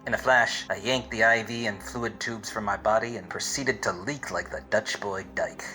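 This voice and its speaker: A man playing a wisecracking, dim hard-boiled detective in a parody of 1940s radio drama, wordy and deadpan. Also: on the low side, moderate pace, low-quality recording. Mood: wry narration. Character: wisecracking, obtuse hard-boiled male private eye narrator.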